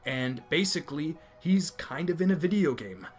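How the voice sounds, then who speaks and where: A man's voice: on the high side, expressive, normal speed, soft background music. Opinionated young man reviewing anime. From America. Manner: emphatic, opinionated, repeats points. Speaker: anime video host. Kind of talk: enthusiastic review commentary.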